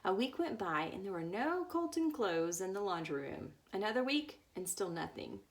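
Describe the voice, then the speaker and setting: A woman's voice: medium pitch, sing-song, normal speed. A woman reading a book aloud as a storyteller, now and then stumbling over words. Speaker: story reader.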